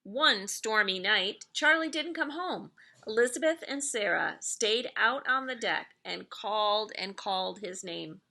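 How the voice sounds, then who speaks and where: A middle-aged woman reading a children's story aloud in an expressive, deliberate storytelling manner. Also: mid-range voice, creaky. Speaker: elementary school staff member reading aloud to pupils.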